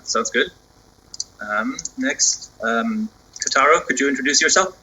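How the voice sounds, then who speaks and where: A man's voice: medium pitch, animated, unhurried, bright, forceful tone, husky, rough recording. A man hosting an online meeting, briefly and politely handing the floor to each member. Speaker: meeting host. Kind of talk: moderating a meeting. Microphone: video call.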